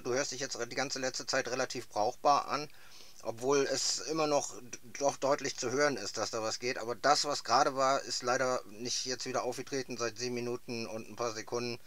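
Bright, forceful man chatting on radio. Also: medium pitch, fairly even intonation, fairly quick. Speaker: radio amateur. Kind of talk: casual two-way radio chat.

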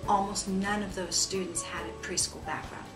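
A woman's voice: mid-range voice, steady tone, normal speed. A middle-aged woman with an American accent, a school principal speaking in an interview. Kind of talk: interview testimonial. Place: small office, indoors. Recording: roomy, distant mic, music behind.

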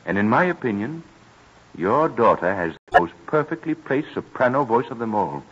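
Casting: courtly old-radio colonel, declamatory with wide pitch swings, muffled vintage sound. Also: male voice, very animated, normal speed, fairly bright tone, husky. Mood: impressed, insistent. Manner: formal, emphatic, declamatory. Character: courtly, emphatic colonel, a music patron and family friend. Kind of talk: old-time American radio drama acting.

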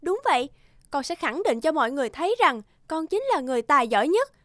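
A woman voicing an arrogant, boastful young peasant woman in an animated fairy tale, haughty and scornful. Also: high voice, quick pace, no echo, clean studio sound.

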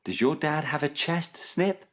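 A man's voice: on the high side, measured pace, fairly bright tone, voice pushed up. A man voicing a cheerful, curious young animal in a children's story, with a chirpy, inquisitive, childlike manner.

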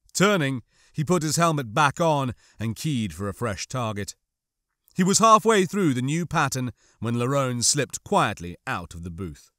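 A man reading a novel as an audiobook narrator, with measured, dramatic delivery. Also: a little high, a bit bright, dry recording, studio quality.

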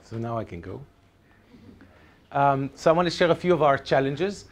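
A man's voice: mid-range voice, lively intonation, normal speed. A middle-aged man with an Israeli accent, a native Hebrew speaker, giving a chatty, hesitant presentation at a teachers' workshop in a lecture room. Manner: chatty, hesitant, many ums and restarts. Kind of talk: conference presentation. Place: lecture room with projector screen.

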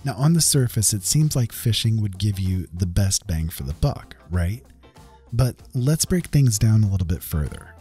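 A man's voice: lowish voice, animated, moderate pace, mellow, slightly rough. A man narrating a gameplay video in a semi-scripted, explanatory voice-over. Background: background music.

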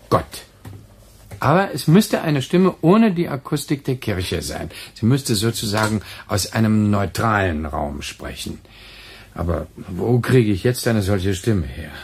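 A man acting a brisk, talkative, emphatic radio dramaturg in a German radio play. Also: fairly low pitch, lively intonation, creaky, a little mellow, a little hiss or hum. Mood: eager, insistent.

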